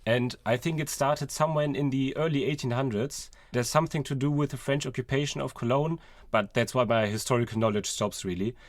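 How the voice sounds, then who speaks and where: A man's voice: mid-range voice, a little fast, dry recording. A young man speaking as a student podcast co-host, explaining things in a reflective, conversational way. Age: young adult. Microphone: close podcast microphone.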